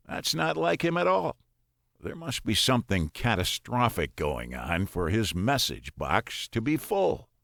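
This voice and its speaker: Slow, expressive male narrator with creaky voice. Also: lowish voice, no room sound, clean studio sound. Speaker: audiobook narrator. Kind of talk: scripted first-person story narration.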